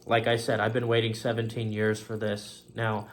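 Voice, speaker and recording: A man's voice: fairly low pitch, completely flat delivery, normal speed. A man hosting a faith-themed talk show, speaking in an earnest, rambling solo monologue and often circling back to stock phrases.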